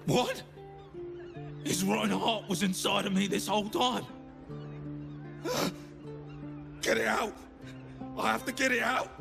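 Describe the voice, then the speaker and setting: An actor voicing a frightened, bitter undead servant in a video game, halting and emotional as he pleads for his life. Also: sits high, gravelly, over music, exaggerated voice. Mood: horrified, desperate. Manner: halting, anxious, emotional delivery. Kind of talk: video game dramatic acting.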